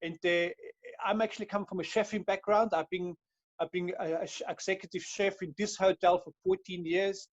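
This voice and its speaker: An Austrian man with a notably high voice for a man answers interview questions, often hesitating and restarting his phrases. The sound is dry and close, with dull, lo-fi call quality. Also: steady tone, normal speed, dry recording. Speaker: hotel general manager.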